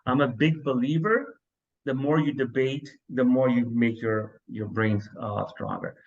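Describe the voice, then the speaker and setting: A man's voice: mid-range voice, measured pace, muffled recording. A man hosting a casual podcast, rambling and restarting his sentences a lot. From New York, US. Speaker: podcast host.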